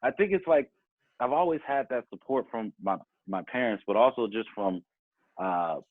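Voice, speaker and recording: A man's voice: mid-range voice, expressive, normal speed. A man chatting casually as an interview guest, telling stories in a rambling, slangy, conversational way. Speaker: podcast guest, music performer. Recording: thin phone-like call, roomy echo.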